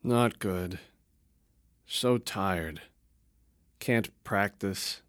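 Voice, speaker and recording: A man's voice: deep voice, extremely slow, smooth, no room sound, clean studio sound. A man voicing a tired, guilt-ridden young monk who speaks in a weary, glum way.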